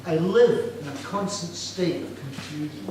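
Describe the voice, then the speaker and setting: A man's voice: on the high side, unhurried. Older Scottish man, chatty and self-mocking. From Scotland. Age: 60s. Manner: self-deprecating, chatty, asks the audience questions. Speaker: storyteller presenting his artwork. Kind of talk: informal talk to students. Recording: echoey room, distant mic, background noise.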